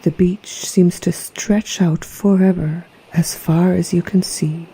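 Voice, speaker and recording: A woman narrating a guided meditation in a soft, breathy, half-whispered voice with a gentle, soothing, hypnotic manner. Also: lowish voice, steady tone, slow pace, low-quality recording. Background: relaxation music.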